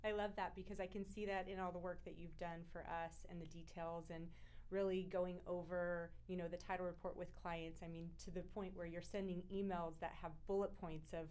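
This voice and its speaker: A woman's voice: lowish voice, flat delivery, a little fast, a little mellow, smooth. A woman hosting a real estate interview show, talking in an enthusiastic, effusive way.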